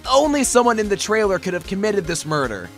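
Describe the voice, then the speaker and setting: Casting: male actor as young defense attorney for a game fandub, high voice, earnest, declamatory, music underneath. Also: very animated, brisk. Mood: pointed, confident. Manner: declamatory courtroom argument. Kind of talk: amateur anime-game fandub acting.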